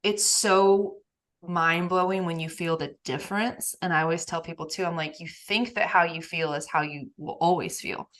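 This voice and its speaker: Lady podcast host, kinda low voice, gets all emphatic and laughy. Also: moderate pace. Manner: emphatic and animated, laughs often. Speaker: podcast host, nervous system coach. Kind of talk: casual podcast conversation.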